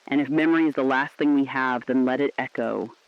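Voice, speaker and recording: A man's voice: medium pitch, fairly even intonation, fairly quick, dry recording, muffled recording, natural voice. A man playing a mournful but defiant last radio broadcaster, speaking solemn, earnest, poetic lines in a scripted drama. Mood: tender, defiant.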